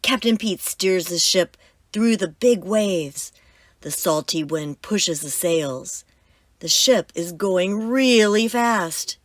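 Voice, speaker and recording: A woman reading a children's book aloud as host, with expressive, emphatic storytelling. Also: fairly low pitch, takes it slowly, dry recording, studio quality.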